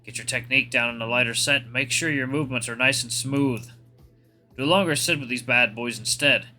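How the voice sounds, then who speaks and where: A man's voice: mid-range voice, fairly quick, bright, forceful tone, faint music behind. A man voicing a huge, flirty raptor gym regular with an Irish accent, teasing and coaching in a visual novel. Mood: bossy coaching. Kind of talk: visual novel character voice-acting.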